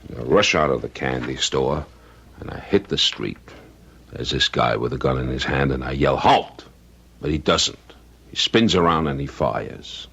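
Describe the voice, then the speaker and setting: A weary veteran policeman in a radio drama, with an extremely low voice full of gravel. He is middle-aged, stubborn and plain-spoken, husky at the edges. The recording is dull and lo-fi. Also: normal speed, fairly bright tone, exaggerated voice. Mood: haunted. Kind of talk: old-time radio drama acting.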